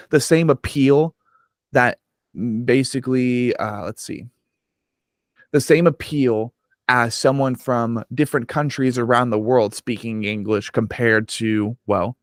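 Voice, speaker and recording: A man's voice: medium pitch, no echo, clean studio sound. A man hosting a podcast, talking animatedly and swearing freely as he rambles through his points. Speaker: podcast host. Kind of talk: casual interview chat.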